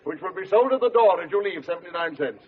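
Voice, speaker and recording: An actor playing a pompous, self-promoting professor lecturing in declamatory style in an old-time radio comedy. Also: male voice, high voice, lively intonation, fast talker, slightly rough. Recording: muffled, noisy old radio recording, audience.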